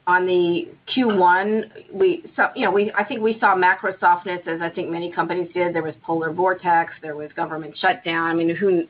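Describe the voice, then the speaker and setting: A man speaking as a company executive on an earnings call, answering in an informal, halting way. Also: fairly high pitch. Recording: noisy, tinny phone line.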